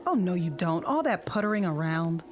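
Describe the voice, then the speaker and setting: A woman's voice: lowish voice, animated, moderate pace, loud music behind the voice, lightly acted. An actress playing a chatty, easygoing middle-aged suburban wife and mother in a modern radio drama, with a breezy, colloquial manner. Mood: teasing.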